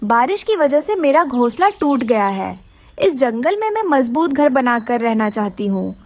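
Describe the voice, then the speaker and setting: A woman performs a small, earnest female cartoon bird with a very high voice. She speaks in plaintive exclamations, with lively swings in pitch, politely pleading her case. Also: unhurried, no echo, full character voice. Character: distressed, hardworking, polite young female bird. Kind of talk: Hindi children's cartoon character voice.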